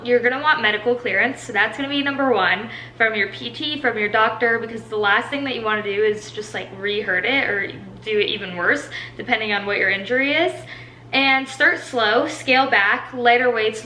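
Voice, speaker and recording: A young woman in her twenties answers fitness questions in a bright, slightly high voice, chatty and upbeat, sprinkling "like" everywhere. Her first language is Portuguese. She sounds like she is in an echoey room with a faint background hum. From Brazil. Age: 20s. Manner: chatty, filler-heavy with many "like"s, upbeat. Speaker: fitness coach. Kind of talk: casual Q&A monologue.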